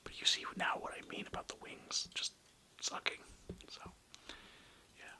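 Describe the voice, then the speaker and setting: An ASMR creator whispering in a breathy, unvoiced voice, rambling informally through a casual show-and-tell with some swearing. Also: a little slow.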